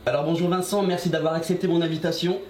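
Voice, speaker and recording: A man's voice: a little high, flat delivery, fast, darkish tone. A man hosting an interview, reading his introduction and asking questions, adding brief acknowledgements. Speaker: interviewer, channel host. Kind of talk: interview questions, partly read. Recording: echoey room with faint noise.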